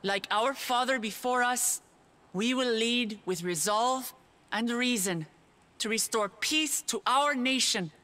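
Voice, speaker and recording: A woman playing a resolute young ruler in a video game cutscene, addressing grieving people with solemn, earnest, measured oratory. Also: fairly high pitch, pushed and bright. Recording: background music under the voice.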